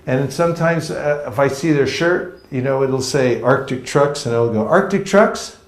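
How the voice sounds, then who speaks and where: A man's voice: mid-range voice, normal speed. Guy from california teaching a seminar, jokey, acts stuff out, roomy echo. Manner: playful, jokey, acts out street encounters. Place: seminar room with a live audience. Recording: echoey room.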